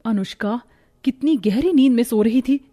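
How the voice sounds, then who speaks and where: A woman plays a protective elder sister-in-law in a cartoon household drama. She has a fairly high voice and an instructive, responsible way of speaking. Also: moderate pace, natural voice. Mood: firm. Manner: gently instructing. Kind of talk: Hindi cartoon family story voice acting.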